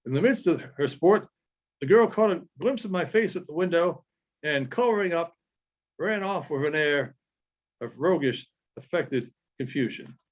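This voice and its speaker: A man reading literary narration aloud in a halting way, with frequent pauses and small stumbles. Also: fairly high pitch, animated. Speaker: audiobook reader. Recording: dull, lo-fi sound.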